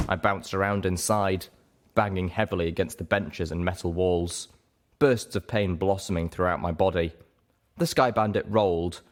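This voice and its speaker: Man, deep low pitch, scripted fiction narration, audible noise and clicks. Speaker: audiobook reader.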